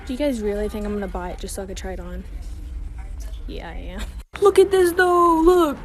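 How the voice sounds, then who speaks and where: A woman filming herself in a playful, excited way while trying on a garment. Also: mid-range voice, brisk, soft background music. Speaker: social media video creator.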